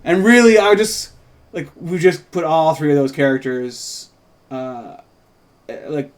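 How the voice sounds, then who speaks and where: Male co-writer at a table read, slightly high voice, informal and conversational narration. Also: unhurried. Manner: informal, thinks aloud about the script. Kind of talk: casual script read-through with narration and asides.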